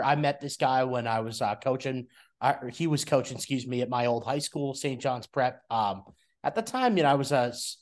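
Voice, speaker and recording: A young man hosting a soccer podcast, chatting casually with a guest, with friendly rambling talk full of fillers and restarts. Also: medium pitch. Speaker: podcast host. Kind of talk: casual interview chat.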